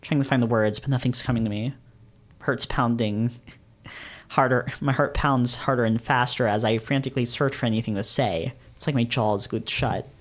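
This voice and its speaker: A man's voice: mid-range voice, fairly even intonation, normal speed, natural voice. A man voicing an anxious, self-loathing young male fox in a dramatic visual novel reading, agitated and melodramatic. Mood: panicked, self-loathing. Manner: agitated, overwrought, self-deprecating.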